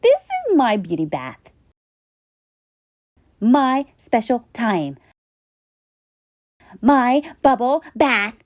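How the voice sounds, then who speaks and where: A woman's voice: on the high side, wildly swooping pitch, very slow, pauses often, no room sound, studio quality, heavily acted. A woman voicing a neat, fussy, dainty female unicorn in a children's storybook. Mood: reluctant. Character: neat, prissy female unicorn, proud of beauty. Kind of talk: children's storybook character acting.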